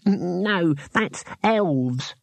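Small male gnome played by a man, storybook. Slightly high, cagey, dry-witted, unhurried. Close dry sound. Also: lively intonation, vocal fry, no echo, heavily acted. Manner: slow, hedging delivery with trailing afterthoughts. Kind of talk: audiobook character voice.

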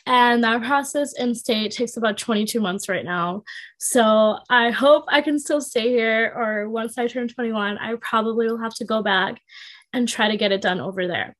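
A young woman in her twenties talks as a podcast guest. Her voice sits a little high. She is chatty and relaxed and leans on "like" as she explains things step by step. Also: normal speed. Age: 20s. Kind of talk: casual chat.

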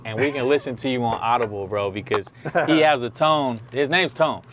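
A man's voice: mid-range voice, a little fast, background noise. A man interviewing a guest, chiming in often with encouraging affirmations and asking open questions. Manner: encouraging, frequent "come on" and "yes" affirmations. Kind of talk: casual conversational interview.

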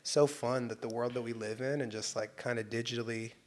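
A young man from Southern California talking in a relaxed, easygoing podcast chat. He tells stories loosely, full of slang, and acts out what people said. His voice sits at an ordinary middle pitch. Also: brisk, a loud crowd. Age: young adult. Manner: laid-back, animated storytelling with slang and acted-out quotes. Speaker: guest. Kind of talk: casual chat.

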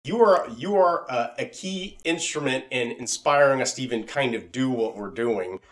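A man's voice: mid-range voice, lively intonation, normal speed, a bit bright. A man hosting a podcast in a casual, rambling and enthusiastic chatty style. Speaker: podcast host. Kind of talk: casual chat.

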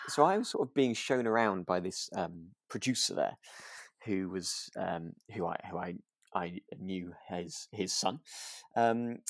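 A man's voice: deep voice, no echo. A British man co-hosting a podcast, chatting casually and telling stories in a self-deprecating, hesitant way. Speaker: podcast co-host. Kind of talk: casual anecdotal chat.

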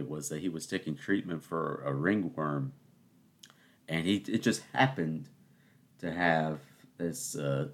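A man hosting his own podcast at home, talking in a rambling, sarcastic way and getting worked up. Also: extremely low pitch. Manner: keeping voice down early on. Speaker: podcast host. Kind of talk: casual solo musing. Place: home, in the morning.

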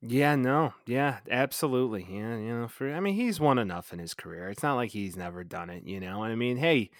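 A man's voice: medium pitch, animated, fairly quick, no room sound, studio quality. An American man co-hosting a casual sports podcast, chatting with a profane, teasing edge. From Chicago, United States.

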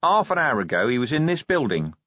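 A man's voice: mid-range voice, sing-song, fairly quick, bright, forceful tone, no room sound, voice pushed up. A man voicing a weary, sardonic middle-aged male watch commander who speaks in a dry, sarcastic way, in a dry, slightly dull recording.

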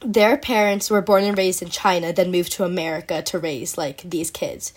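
A woman's voice: lowish voice, steady tone, normal speed. A teenage girl hosting a casual podcast chat, talking in a chatty way with many fillers and frequent laughs. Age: teens. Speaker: podcast host.

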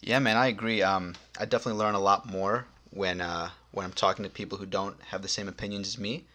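A man's voice: low-pitched, fairly even intonation, quick pace, bright, forceful tone. A young man in his twenties co-hosting a casual podcast, speaking in an easygoing way with frequent "um" and "uh". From United States. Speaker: podcast co-host.

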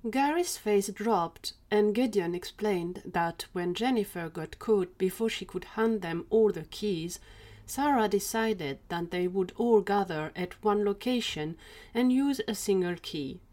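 A woman's voice: medium pitch, measured pace, darkish tone. A woman narrating a scripted fiction story as the narrator of an audio drama.

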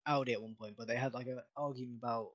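A man's voice: mid-range voice, steady tone, racing, lo-fi sound, a crowd in the background. A man chatting offhand as a co-host, hedging what he says. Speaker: co-host on a stream. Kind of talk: casual chat.

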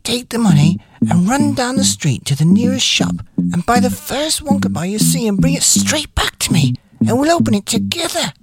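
Guy doing a sly excited old grandpa for a kids storybook, hushed and husky, swoopy pitch, music behind. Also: normal speed, no room sound, heavily acted. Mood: excited, urgent. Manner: conspiratorial, whispering and spluttering with excitement. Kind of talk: children's storybook character reading.

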